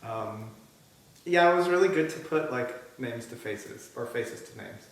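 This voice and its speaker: A man's voice: medium pitch, expressive, unhurried, vocal fry, heavy reverb, rough recording. A young man co-hosting a vlog, with teasing, playful banter. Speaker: podcast co-host. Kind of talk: casual vlog chat.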